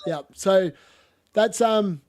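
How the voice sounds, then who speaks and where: A man's voice: high-pitched, extremely slow, darkish tone. Chatty man with a cough. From Australia. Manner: rambling, laughs often. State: lingering cough. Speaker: podcast host. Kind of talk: casual football chat.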